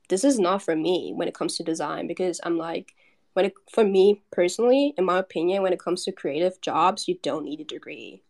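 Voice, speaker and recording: A woman's voice: lowish voice, creaky, a little mellow. A young woman from Germany chatting casually as a podcast guest, giggly and full of fillers. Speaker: artist guest.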